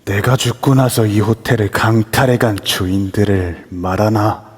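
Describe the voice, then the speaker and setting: A man's voice: on the low side, fairly even intonation, measured pace, husky. A man playing the vengeful, menacing ghost of an old-time lord in an amateur audio drama, speaking in archaic, imperious phrases. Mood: cold menace. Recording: long reverb throughout.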